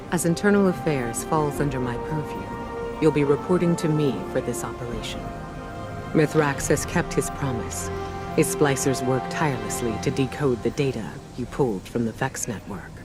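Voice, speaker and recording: An authoritative female officer in a game cutscene. She is composed and businesslike, delivering a measured briefing. Music is clearly heard behind her. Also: rumbling low. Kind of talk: video game cutscene acting. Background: game score.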